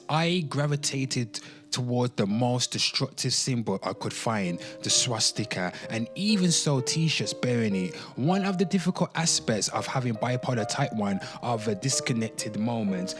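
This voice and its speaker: A man hosts a casual online commentary show with faint music behind him. His pitch swings widely as he rambles, repeats himself for emphasis and slips into dramatic readings. The talk is slangy and addressed straight to his listeners. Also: very animated, unhurried. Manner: slangy, frequently swearing, addressing listeners as people.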